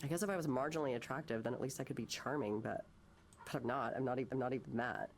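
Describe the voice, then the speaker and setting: An actress voicing an anguished, bitter, self-doubting woman artist in a naturalistic visual novel, speaking haltingly and emotionally. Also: very deep voice, a little hiss or hum. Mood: dejected. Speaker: counselling patient.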